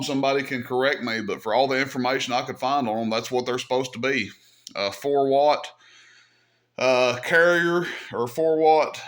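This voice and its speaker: A man's voice: mid-range voice, fairly bright tone. A man casually demonstrating equipment as a hobby host, talking in a folksy, easygoing way as he thinks aloud. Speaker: host of a CB radio hobby channel.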